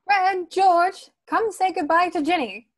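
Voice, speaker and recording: Scolding witch mum read by a woman, high voice, brisk, firm, motherly, table-read drama. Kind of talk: online table read of a screenplay.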